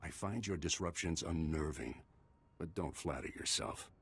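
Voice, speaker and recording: An actor playing a grave, proud older Jedi Master in a video game, solemn, measured and raspy. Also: male voice, very deep voice, a bit bright, a little hiss or hum. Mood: grim resolve.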